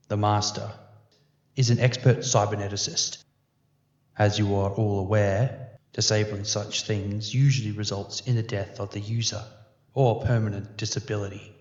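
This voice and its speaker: Flat, slow investigator played by a man, echoey. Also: fairly low pitch, lightly acted. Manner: measured, formal, clinical delivery. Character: grave, methodical male police investigator briefing officers. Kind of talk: sci-fi audio drama briefing. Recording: echoey room, dull muffled sound.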